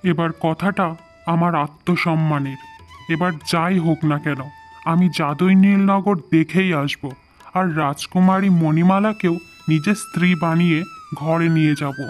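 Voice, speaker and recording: A man's voice: on the high side, steady tone, unhurried, soft background music, close to an everyday voice. Man as proud, earnest young suitor. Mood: wounded, determined.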